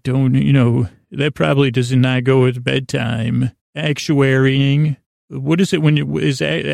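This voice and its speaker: A man hosting a bedtime podcast in a gravelly voice, meandering through digressions and interrupting himself as he goes. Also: mid-range voice, a little mellow, no room sound, studio quality. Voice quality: gravelly, creaky. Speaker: podcast host. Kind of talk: rambling bedtime monologue.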